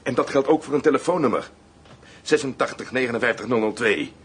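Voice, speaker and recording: A man's voice: medium pitch, brisk, husky. A man playing a mocking, coarse, cold gangster henchman in a radio drama, taunting and threatening.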